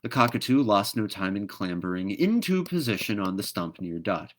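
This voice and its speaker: A man reads a storybook aloud in a lowish voice. The narration is expressive, with plenty of movement in pitch. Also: moderate pace, a little hiss or hum. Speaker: storybook reader.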